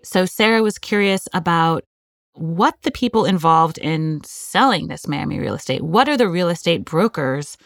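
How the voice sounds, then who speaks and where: A woman with a slightly low voice chats casually as a podcast co-host. The sound is dry and close, with a conversational, informal delivery. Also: lively intonation, normal speed, no room sound.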